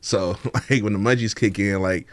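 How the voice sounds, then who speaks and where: A man's voice: fairly low pitch, steady tone, normal speed, no echo. A laid-back man, a podcast guest chatting casually and laughing often, with many fillers. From Oakland, California. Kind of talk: casual interview chat.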